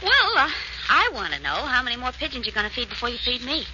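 An actress playing a detective's bantering, curious companion in 1940s radio drama style, teasing and inquisitive. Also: female voice, on the high side, very animated, fast talker. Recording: muffled, noisy old radio recording.

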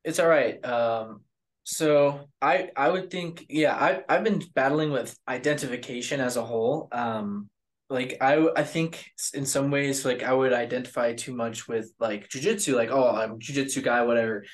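A man hosting a podcast, asking earnest questions in a halting way, often searching for the right word. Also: mid-range voice. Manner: earnest, halting, fumbling for words. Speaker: host. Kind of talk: casual interview questions.

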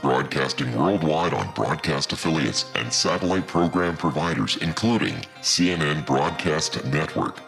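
A man with a gravelly voice reading a scripted radio network announcement. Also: a bit bright. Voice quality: very deep, gravelly. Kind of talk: scripted station promo announcement. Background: faint music.

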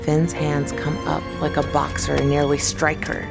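A woman's voice: low voice, level tone, normal speed, creaky, over loud music. A woman giving scripted dramatic narration as the narrator of a radio play.

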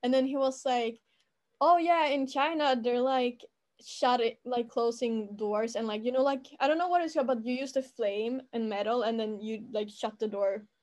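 A woman with a fairly high voice tells a meandering story in a relaxed, casual chat. She strings her sentences together with constant fillers and quick restarts. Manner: rambling, filler-heavy storytelling. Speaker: student recounting school life.

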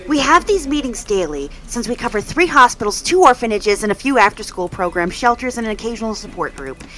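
An actress playing a brisk, businesslike woman who coordinates a charity's departments, a little stern and worn out. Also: on the high side, lively intonation, a bit bright, soft background music, low-quality recording.

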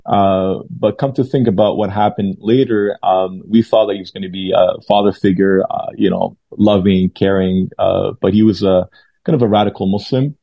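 A man in his thirties from Lebanon speaks low and gravelly, keeping his pitch fairly flat. He tells his story hesitantly, stopping often for fillers and starting his sentences over. The audio is dull and dry. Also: moderate pace, dry recording. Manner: hesitant, many fillers and restarts. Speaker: pastor and guest. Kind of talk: testimony interview.

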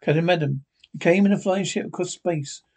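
A man playing a skeptical, commanding spaceship captain who sounds baffled and insistent, in a husky voice. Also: high-pitched, a little fast, a little mellow. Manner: questioning, insistent.

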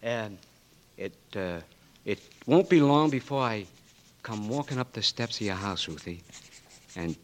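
A cocky blinded young Marine. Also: male voice, on the low side, lively intonation, a little slow, long pauses. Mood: tender. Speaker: patient. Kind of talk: 1940s radio acting.

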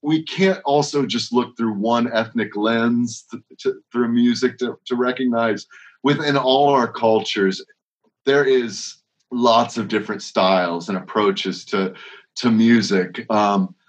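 A man's voice: medium pitch, slow, muffled recording. A man who leads worship, talking casually in an interview, rambling with frequent restarts and strings of rhetorical questions. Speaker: worship leader.

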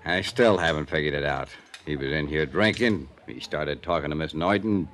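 An actor playing a defensive, streetwise hotel bartender in a 1950s radio drama, talking in a tough, clipped way. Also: male voice, rumbling low, normal speed, a bit bright. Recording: old radio broadcast with music.

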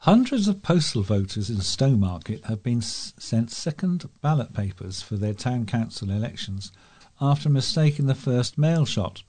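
A man's voice: mid-range voice, lively intonation, moderate pace, a little mellow. A man reading scripted local news reports as a volunteer newsreader.

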